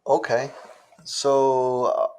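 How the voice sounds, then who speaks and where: Interview guest, a man from southern China; unhurried, deliberate delivery. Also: medium pitch, level tone, a little mellow, smooth. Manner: slow, measured, with frequent "uh" pauses. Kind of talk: casual interview answers.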